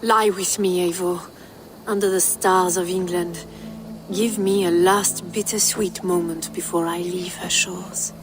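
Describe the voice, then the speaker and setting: A woman's voice: on the low side, fairly even intonation, a little slow, close to an everyday voice. A woman playing a romantic, restless Frankish noblewoman with a French accent in a video game cutscene. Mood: yearning, seductive. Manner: romantic, poetic. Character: passionate, restless Frankish noblewoman yearning for a new life.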